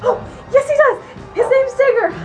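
A woman's voice: very high voice, normal speed, over loud music. An actress playing an anxious, cautious young woman in an audio drama, fretful and emphatic. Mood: insistent. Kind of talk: full-cast audio drama acting.

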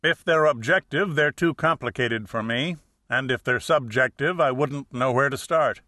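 A male audiobook narrator reads a first-person detective story. His voice is bright and forward, and the recording is dry and close. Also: medium pitch, moderate pace, no room sound.

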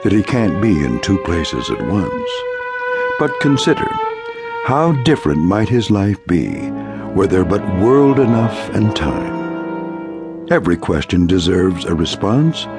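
Loud music surrounds a solemn storyteller of eerie tales. The voice is low, with dramatic, lively rises and falls, measured and portentous as in a radio play. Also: male voice, full character voice. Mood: solemn, knowing. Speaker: narrator. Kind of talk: dramatic scripted narration. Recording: loud music behind narration.

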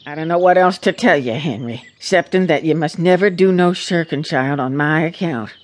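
A woman's voice: deep voice, moderate pace, close to an everyday voice. A plain-spoken American farm mother played by a woman in a radio drama. She is middle-aged and devout, with a rural accent, and counsels her child in an earnest, anxious, homespun way. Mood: anxious, tender.

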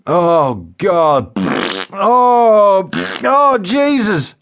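A man voicing a distressed, bluntly coarse male patient in a comic audiobook, groaning and pleading loudly. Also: sits high, big pitch swings, normal speed, voice pushed up, full character voice. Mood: agonised, pleading. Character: distressed, embarrassingly frank working-class patient on the phone.

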